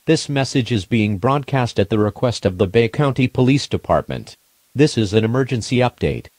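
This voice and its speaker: A man's voice: medium pitch, expressive, normal speed, a little mellow, no echo. A man's formal, impersonal synthetic voice reading a scripted emergency alert announcement.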